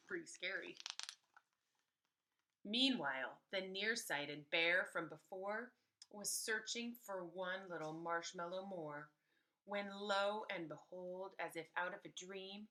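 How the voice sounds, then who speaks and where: A woman reading a story aloud to young children like a preschool teacher, animated and emphatic, pausing to explain things. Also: medium pitch, rough recording.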